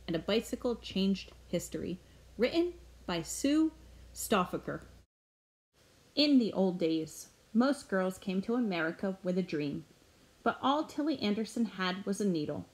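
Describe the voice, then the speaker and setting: A middle-aged woman reading a picture book aloud to children in an expressive, deliberate storytelling way. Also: medium pitch, echoey room, low-quality recording. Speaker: children's read-aloud presenter.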